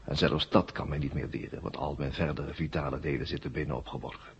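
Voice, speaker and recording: Very deep, menacing machine brain. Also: male voice, steady tone, fast talker. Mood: cold menace. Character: vast alien machine brain, cold, boastful, menacing. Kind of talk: 1970 science fiction radio drama acting. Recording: dull, noisy old radio recording.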